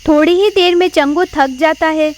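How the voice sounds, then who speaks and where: High-pitched woman narrating a story. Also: fairly even intonation, normal speed.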